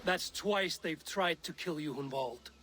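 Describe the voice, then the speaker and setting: An actor playing a wry, protective Norse warrior in a video game, speaking in a dry, direct manner. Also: male voice, a little high, a little slow, bright, forceful tone, a little hiss or hum. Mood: stern, concerned. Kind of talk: video game character acting.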